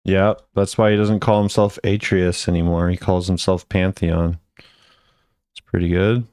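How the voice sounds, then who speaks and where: A man's voice: sits low, little pitch movement, a little slow, a little mellow, clean tone, dry recording, studio quality. A man giving casual, laid-back reaction commentary on game voice lines in a musing way.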